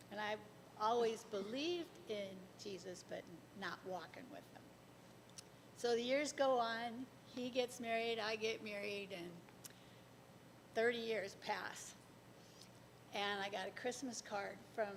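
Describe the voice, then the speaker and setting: A middle-aged woman from the congregation telling a personal faith story to a church group as a lively storyteller. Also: vocal fry. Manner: lively storyteller, laughs between lines. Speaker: congregation member sharing testimony. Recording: far off in a large echoing hall, faint rumble.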